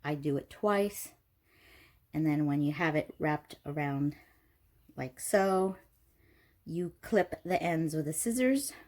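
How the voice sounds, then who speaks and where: Stuffy-nosed lady rambling thru her craft tutorial, kinda low voice, lots of ums, goes squeaky over cute stuff. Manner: chatty and rambling, many ums, gushes in a high voice over cute things. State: stuffy from allergies, clearing throat. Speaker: craft video host. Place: home craft table.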